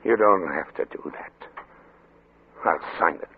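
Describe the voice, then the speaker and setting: An actor playing a reluctant, conscience-stricken doctor, hesitant and stammering, in 1940s radio drama style. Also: male voice, deep voice, measured pace, breathy edge, heavily acted. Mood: bitter, resigned. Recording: narrow, noisy old radio recording.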